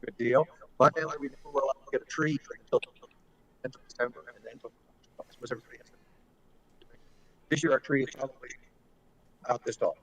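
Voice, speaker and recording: A man's voice: mid-range voice, fairly even intonation, fast, long pauses, poor audio. A man hosting a casual chat show in a playful, joking manner. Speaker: host.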